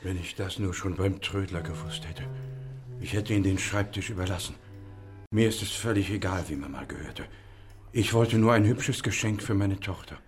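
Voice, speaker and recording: A man's voice: sits low, normal speed, faint music behind, close to an everyday voice. An actor playing an anxious, indignant middle-aged father in a German radio play, agitated and emphatic. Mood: aggrieved.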